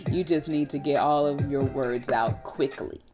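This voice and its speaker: A woman in her thirties giving a casual, conversational tutorial with colloquial, animated delivery into a close desktop mic. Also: very deep voice, a little slow, music in the background. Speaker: radio personality giving a production tutorial. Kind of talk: casual instructional talk. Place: small room at home, indoors. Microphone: desktop USB condenser mic, close.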